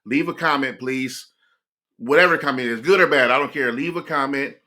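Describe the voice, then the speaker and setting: A man's voice: medium pitch, normal speed, pushed and bright. An American man hosting a reaction video, talking in a reflective, rambling way and addressing his viewers directly. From United States. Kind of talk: casual reaction commentary.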